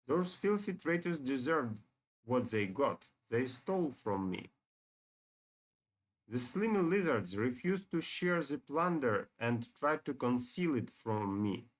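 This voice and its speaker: A man's voice: medium pitch, expressive, slow pace. A man voicing a greedy, haughty elder dragon in a video game, speaking imperiously and with menace. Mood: indignant, haughty. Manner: imperious, threatening.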